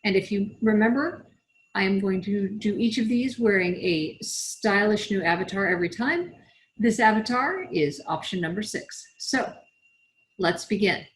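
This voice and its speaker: A woman's voice: medium pitch, lively intonation, measured pace, low-quality recording. A woman reading as an author, in the style of scripted audiobook narration. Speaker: author reading from own novel.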